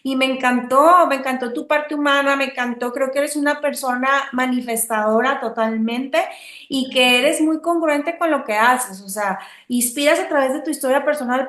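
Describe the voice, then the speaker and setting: High-voiced woman podcast host, echoey. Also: moderate pace. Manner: effusive, warm praise of guest. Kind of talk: casual interview. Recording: roomy echo.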